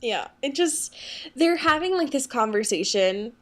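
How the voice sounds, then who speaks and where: A woman's voice: sits high, expressive, unhurried. A woman co-hosting a podcast, chatting casually about a book in an informal, slangy, giggly way with free swearing. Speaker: podcast co-host. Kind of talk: casual book discussion chat.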